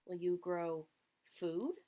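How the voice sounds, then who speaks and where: A woman's voice: fairly low pitch, slow, dark tone, pitched below the performer's own voice. A woman voicing a hungry, scruffy male raccoon in a children's storybook, pondering and eager. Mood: hungry curiosity.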